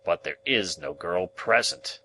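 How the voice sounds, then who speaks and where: A man voicing a sanctimonious moralizer from a storybook, dropping his voice low and solemn for each lesson. He speaks measured and preachy. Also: lively intonation, pushed and bright, a little hiss or hum, exaggerated voice, poor audio.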